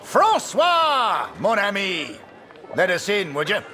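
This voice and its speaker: An actor playing a jovial army major in a video game. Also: male voice, high-pitched, wildly swooping pitch, slow, sharp tone, full character voice. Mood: jovial, commanding. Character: jovial British army major, hiding grief. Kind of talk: dramatic video game dialogue.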